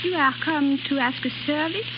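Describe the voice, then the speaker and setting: A woman's voice: high voice, normal speed, lightly acted. An actress playing a proud yet shy young Frenchwoman with a French accent, hesitant and formal, in 1930s radio drama style. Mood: proudly polite. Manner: hesitant, formal, slightly stilted English. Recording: muffled, noisy 1930s radio recording.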